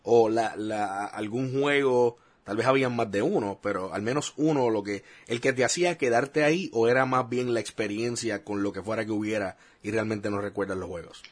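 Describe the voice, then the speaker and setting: A man's voice: fairly low pitch, normal speed, hardly any pauses. A man hosting a casual interview podcast, chiming in with short reactions and follow-up questions, laughing easily.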